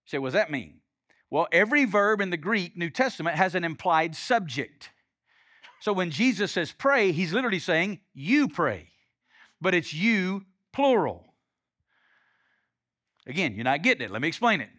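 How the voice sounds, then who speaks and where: A man's voice: sits high, sing-song, normal speed, pauses often, pushed and bright, dry recording, clean studio sound. A male pastor from Alabama with a Southern accent, preaching a sermon with emphatic, conversational delivery. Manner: preaching with rising and falling intensity, emphatic, conversational asides.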